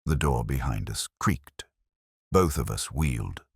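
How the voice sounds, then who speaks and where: A man reading a scripted first-person mystery story as narrator, with a dramatic, measured delivery. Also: a bass voice, lively intonation, breathy edge, no echo, studio quality. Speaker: audiobook narrator.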